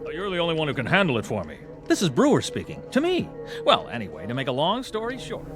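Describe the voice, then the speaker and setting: An actor playing a glib, boastful young dandy in a radio drama, with an affected, garrulous and theatrical delivery. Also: male voice, fairly high pitch, huge pitch swings, fast talker. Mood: indignant, boastful. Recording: studio radio drama with music underneath.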